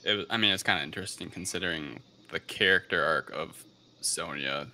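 Male podcast host, deep but very sharp and forward, casual and a little unsure in delivery. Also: fairly quick. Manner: hesitant, unsure of pronunciations. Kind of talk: casual film review podcast talk.

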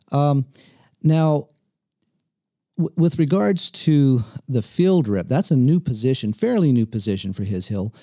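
Male host, dark mellow voice, dry close sound, easygoing and rambling. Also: mid-range voice, creaky, no room sound. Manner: relaxed, rambling, repeats words. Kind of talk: casual interview. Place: living room of a log cabin. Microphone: table mic.

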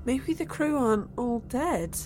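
An actress playing Claire, a curious young woman abducted onto an alien ship, calm and reassuring to others. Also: a little high, measured pace. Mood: calm, steadying. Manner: reassuring, then eagerly curious. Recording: faint music score underneath.

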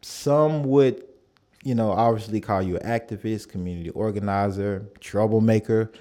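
A man's voice: lowish voice, moderate pace. Laid-back man hosting a podcast. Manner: relaxed and affirming, with many fillers. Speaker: podcast host. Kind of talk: casual conversational interview.

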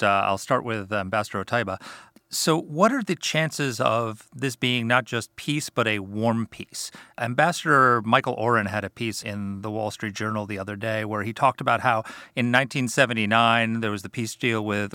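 Low, bright-edged male interviewer. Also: no room sound, studio quality. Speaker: interviewer, panel moderator. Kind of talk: conversational interview questioning.

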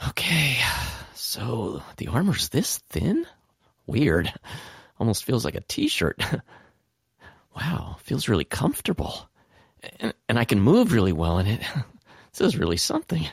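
A man playing an unsure, excitable teenage boy hero in an audio drama, casual and hesitant, with a husky voice. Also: medium pitch, a little slow, no echo, studio quality, full character voice. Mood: amazed.

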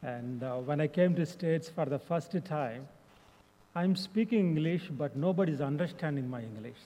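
A young man with an Indian accent gives a mission update to a church, pausing often on fillers. His voice is mellow and sits a little high, and it rings out with a long hall reverb. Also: expressive, muffled recording. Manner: halting, frequent fillers. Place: church sanctuary.

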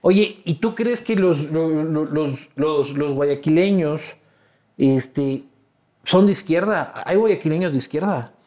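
A man's voice: a little high, takes it slowly, darkish tone. A man with an Ecuadorian accent hosting a casual, slangy interview, probing and teasing, often circling back over his words as he forms a question. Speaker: interviewer, host.

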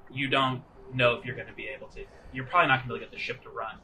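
A man improvising narration as game master of a tabletop roleplaying game, piecing his descriptions together haltingly. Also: medium pitch, fairly even intonation, noisy background. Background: music.

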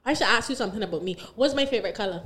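A woman's voice: medium pitch, animated, very fast, a bit bright. A young woman from Barbados with a Bajan accent, hosting a casual, playful podcast chat with a friend and rambling between giggles. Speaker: podcast host.

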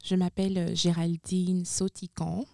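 Woman, young adult, from Benin, low mellow voice, smooth, dry close sound, chatty with hesitations. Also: level tone, unhurried, no echo. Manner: chatty, frequent hesitations and self-corrections. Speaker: guest, university international student services supervisor. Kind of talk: casual radio interview.

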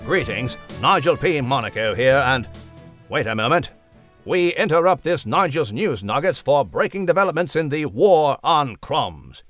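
A man's voice: fairly high pitch, sing-song, normal speed, a bit bright, faint music behind. A man voicing a prim, courteous, wordy mouse newsreader with a British accent for a children's podcast. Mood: pompous, earnest. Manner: pompous, wordy, formal. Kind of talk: mock news report in a children's podcast.

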